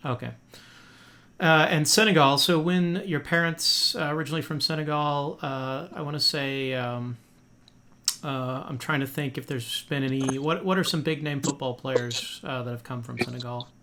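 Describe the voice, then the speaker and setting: A man's voice: mid-range voice, fairly even intonation, moderate pace, bright, forceful tone. A man hosting a podcast interview in a friendly, casual way, with many fillers and restarts. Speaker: podcast host.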